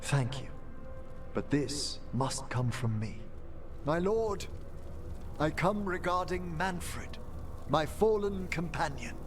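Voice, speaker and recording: Solemn, slow-spoken older necromancer. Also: male voice, a little high, animated. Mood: grieving, solemn. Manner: formal, measured, eloquent. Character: dignified older male necromancer, scholarly, tender and solemn. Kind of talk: theatrical video game acting. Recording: music behind the voice.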